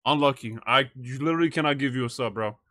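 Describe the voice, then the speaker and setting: A man's voice: mid-range voice, fast talker, noisy background. A young man livestreaming, chatting casually and reacting to clips in slangy, swear-laden talk on a close mic. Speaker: live streamer.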